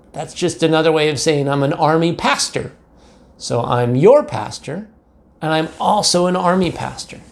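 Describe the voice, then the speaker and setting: An American man, a pastor, talking simply and directly to young children and narrating a picture book. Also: mid-range voice. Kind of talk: children's storybook read-aloud.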